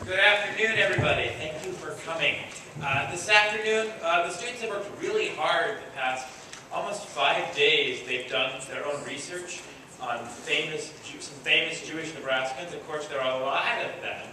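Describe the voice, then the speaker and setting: A man with a high-pitched voice hosts a children's school play. He speaks informally and encouragingly, like a director guiding young performers step by step. The recording is very echoey and distant, with clear background noise. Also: moderate pace, pushed and bright. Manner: encouraging, playful, gives simple step-by-step instructions to children. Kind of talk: informal live announcing, coaching young children on stage. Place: large echoey room before an audience.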